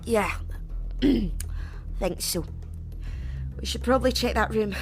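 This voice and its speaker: A woman playing a sarcastic, excitable, sweary zombie survivor in an audio drama. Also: on the high side, slow pace, creaky, slightly rough, soft background music, full character voice. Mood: casual, amused.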